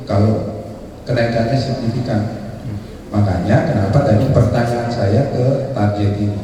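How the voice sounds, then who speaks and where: A man's voice: medium pitch, unhurried, dark tone. Man asking questions in an echoey hall. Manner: methodical, explains scoring step by step. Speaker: competition judge asking questions. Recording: echoey hall, mic far off.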